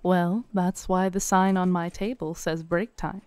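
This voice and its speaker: Smooth, coaxing woman fortune teller. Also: on the low side, steady tone, unhurried, no echo. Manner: soft, coaxing, gently teasing. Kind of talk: scripted roleplay audio.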